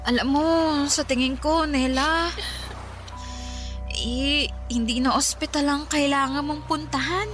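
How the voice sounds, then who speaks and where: A concerned female friend with a high voice. She advises carefully and hesitantly in radio drama style, over soft music. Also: fairly even intonation, measured pace, pauses often. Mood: concerned, grave. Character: concerned female friend giving superstitious advice.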